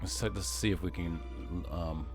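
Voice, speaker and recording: A man's voice: low voice, steady tone, measured pace, music in the background. A man streaming a game, casually thinking aloud in a muttering, musing way.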